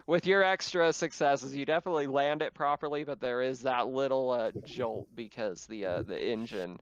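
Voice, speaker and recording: Clear-voiced man running a tabletop game. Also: mid-range voice, moderate pace, no room sound, dull audio. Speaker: game master. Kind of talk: casual tabletop game narration.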